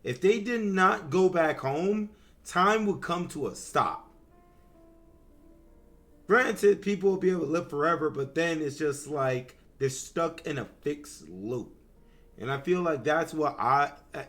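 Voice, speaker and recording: A man's voice: fairly high pitch, animated, measured pace, fairly bright tone, faint music behind. A man giving casual live reaction commentary as a game streamer.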